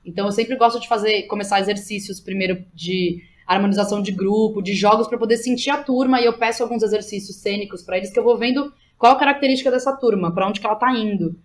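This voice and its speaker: A woman with a Brazilian accent talks as a guest in a casual interview. Her pitch sits in the middle range. She speaks informally, with plenty of slang and small tags that check the listener follows. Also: fast, hardly any pauses. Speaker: interview guest, playwright, director and drama teacher. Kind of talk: casual, animated interview talk.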